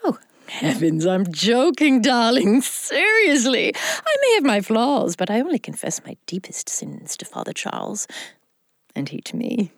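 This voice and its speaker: Flamboyant rich old widow, swooping delivery. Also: female voice, fairly high pitch, huge pitch swings, unhurried, no echo, clean studio sound, heavily acted. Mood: playful, coaxing. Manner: theatrical, grand, flirtatious drawl. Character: flamboyant, lusty, vengeful wealthy widow in her seventies. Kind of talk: audio drama acting.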